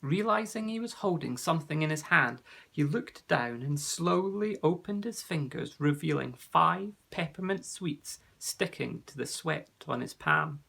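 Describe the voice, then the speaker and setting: Man, children's story narrator, gentle reading, gravelly, husky. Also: on the high side, animated, unhurried.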